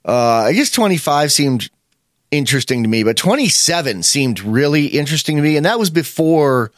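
A man's voice: a little high, expressive, moderate pace, no echo. Man, 50s, podcast host type, rambling and opinionated, dry close sound. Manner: rambling, opinionated, many fillers and restarts. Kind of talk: casual chat.

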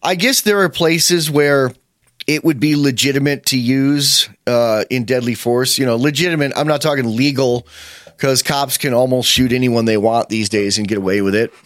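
A man's voice: medium pitch, normal speed, a bit bright, dry recording, studio quality. A man in his fifties talking in a casual chat, opinionated and rambling, with many fillers and restarts. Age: 50s.

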